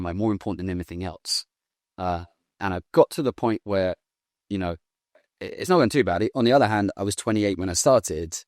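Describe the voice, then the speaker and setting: A British man in his thirties talking in a casual interview, blunt and animated, swearing now and then. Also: sits low, no room sound, clean studio sound. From Britain. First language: English. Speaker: guest.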